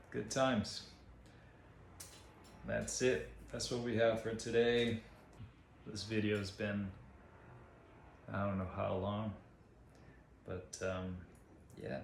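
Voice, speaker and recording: Low-pitched male artist, Portuguese-native, casual, hesitant delivery with lots of ums. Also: vocal fry, darkish tone, a little hiss or hum. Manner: hesitant and self-deprecating, many fillers. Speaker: artist giving a channel update. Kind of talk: casual vlog update.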